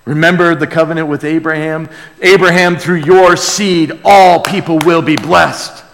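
A man's voice: fairly high pitch, steady tone, measured pace, a bit bright, slight background noise. Man preaching in a reverberant hall. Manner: earnest, exclamatory, dwelling on phrases with repeats. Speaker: pastor preaching to a congregation. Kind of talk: sermon, conversational Bible teaching with call and response. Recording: long hall reverb of a large room.

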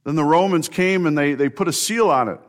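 A man preaching an Easter sermon as a pastor, earnest and warm in delivery, sometimes building to emphatic repetition. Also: a little high, a little fast.

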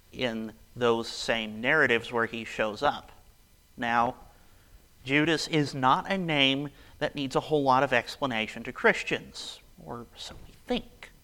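A man preaching a casual, conversational sermon, his voice raspy and hoarse from a cold. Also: mid-range voice, bright, forceful tone, rough recording.

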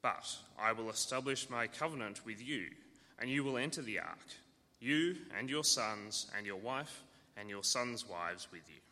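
A man reading a Bible passage aloud in church, steady and measured. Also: on the low side. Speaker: scripture reader. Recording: church hall reverb.

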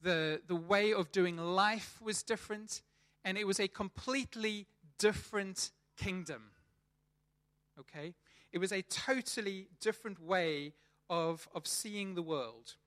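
Church speaker, male, 30s, South African accent, high and bright, chatty, restarts his phrases. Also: measured pace. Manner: chatty, repeats words as he restarts. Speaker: church leader preaching. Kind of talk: sermon.

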